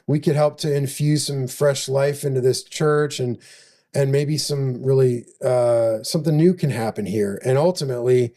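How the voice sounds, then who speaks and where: A middle-aged man from Montana, co-hosting a podcast and sharing a personal testimony in a halting, reflective way with many restarts. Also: medium pitch, warm tone. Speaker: podcast co-host.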